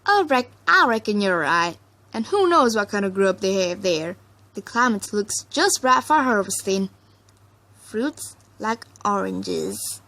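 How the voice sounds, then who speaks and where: A woman's voice: mid-range voice, very animated, measured pace, gravelly, pushed and bright, exaggerated voice, rough recording. A practical country mare acted by a woman reading a story, cautious and a bit bossy. She talks in a firm, folksy way with lots of rise and fall. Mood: agreeable.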